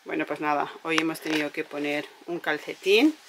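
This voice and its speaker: A woman's voice: low voice, moderate pace, noisy background. A woman from Spain chatting casually on a vlog as she works on her sailboat, rambling and talking to her dog. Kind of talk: casual vlog chat to camera. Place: aboard a moored sailboat.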